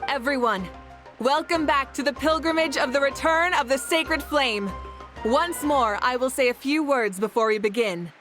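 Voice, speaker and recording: Game heroine: confident young woman, rousing leader, bright-spirited banter, higher-pitched, over music. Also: normal speed. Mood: rousing, stately. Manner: assured, teasing in banter, stately when addressing crowds. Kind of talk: video game voice acting.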